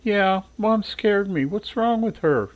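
Guy putting on a whiny slow stubborn kid sister voice. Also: sits high, expressive, voice pushed up. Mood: sulky, whiny. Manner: whiny, drawn-out. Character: sulky stubborn young girl.

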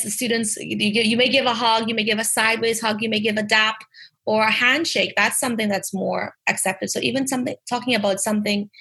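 Young Bahamian woman teacher, lively and emphatic, gravelly husky voice. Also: medium pitch. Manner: lively, emphatic, restarts phrases. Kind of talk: interview answers.

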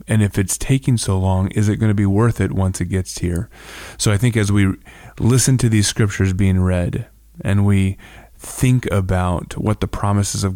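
A man's voice: sits low, warm tone, no room sound, studio quality. A man hosting a conversational devotional reflection, speaking thoughtfully and posing rhetorical questions. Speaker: host giving a scripture reflection.

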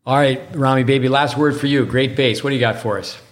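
A man's voice: medium pitch, steady tone, fast. A man hosting a tennis podcast, rambling in a casual way with many stumbling restarts and repeated words. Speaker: podcast host and tennis coach. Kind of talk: casual interview chat.

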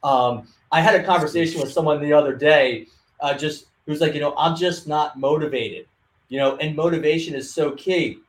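A man's voice: on the high side, moderate pace. A man hosting a casual interview podcast, enthusiastic and prone to repeating his phrases. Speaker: podcast host. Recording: echoey room.

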